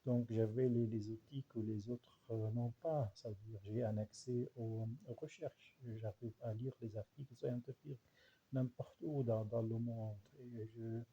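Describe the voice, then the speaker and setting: A man with an Arabic accent and an Arabic dialect as his first language reflects informally, haltingly searching for words and repeating himself. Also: medium pitch, steady tone, dark tone, dull audio, slight background noise. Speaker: language researcher and writer.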